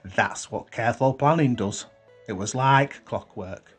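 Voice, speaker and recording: A man's voice: medium pitch, measured pace, husky, soft background music. A man voicing a bossy, self-satisfied lad who leads his mates in a story, with a curt manner. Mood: smug, pleased.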